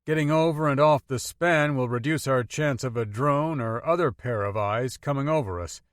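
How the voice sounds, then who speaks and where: A man's voice: mid-range voice, moderate pace, no echo, studio quality, close to an everyday voice. A man voicing an easygoing young man with a country accent who talks candidly and cautiously, in an audiobook reading. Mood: thoughtful. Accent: American Southern-style country.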